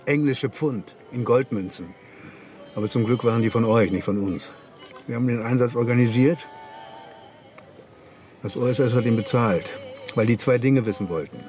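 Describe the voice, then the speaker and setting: An actor playing a brisk, sardonic English former intelligence agent in his thirties, dry and matter-of-fact, in a radio drama. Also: medium pitch, darkish tone, soft background music, natural voice. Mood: sardonic briefing.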